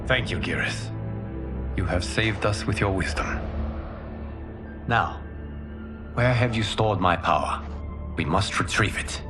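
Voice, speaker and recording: An actor playing a calm male protector who leads his allies and speaks in a composed, earnest way in a game cutscene. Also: low-pitched, slow, pauses often. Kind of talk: video game cutscene acting. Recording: game audio with music bed.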